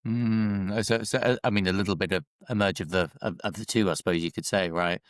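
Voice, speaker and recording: A man's voice: sits low, steady tone, fairly quick, no room sound, clean studio sound. A man hosting an interview over a video call, with a voice he is losing, hesitating through many fillers and restarts. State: losing his voice. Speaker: podcast host.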